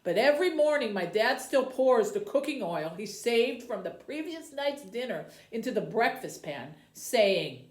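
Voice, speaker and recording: A woman librarian reading a children's novel aloud and hosting for young viewers, with an expressive storytelling delivery. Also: on the high side, a little slow, hardly any pauses. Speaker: librarian host. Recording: roomy, echoey indoor sound.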